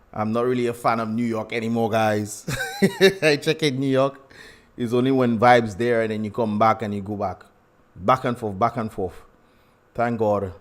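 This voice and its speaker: Chatty man with a Dominican accent. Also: on the low side. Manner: chatty, greets viewers by name, laughs often. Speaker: livestream host. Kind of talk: casual livestream chat.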